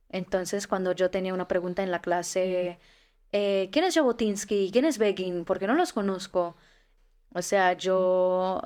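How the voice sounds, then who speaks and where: Young woman from Israel, 20s, interview guest; hesitant, searching for words. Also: mid-range voice. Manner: hesitant, many fillers and restarts. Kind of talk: casual interview.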